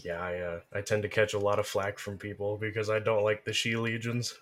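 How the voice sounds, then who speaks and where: A man's voice: on the low side, flat delivery, brisk. A man appearing as a podcast guest, talking casually in a laid-back way. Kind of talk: casual chat.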